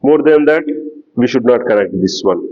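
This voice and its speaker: A man giving a lecture in a slow, explanatory way that repeats key terms. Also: mid-range voice, animated, highs cut off. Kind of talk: classroom lecture.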